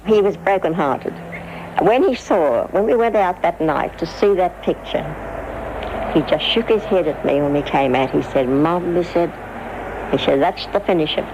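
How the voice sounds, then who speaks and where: An older woman reminiscing in a halting, conversational way, often repeating phrases. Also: fairly low pitch, animated. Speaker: interviewee. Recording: muffled, noisy archival interview.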